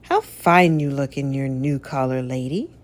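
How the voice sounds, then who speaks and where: A woman voicing an affectionate young wife and dog owner in a children's storybook, gentle and doting. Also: very deep voice, a little slow. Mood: admiring.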